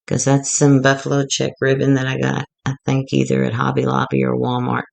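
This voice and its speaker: A woman going hoarse as she gives a rambling, chatty, self-deprecating walkthrough of a craft project. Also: very deep voice, completely flat delivery, brisk. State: getting hoarse. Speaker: craft tutorial maker.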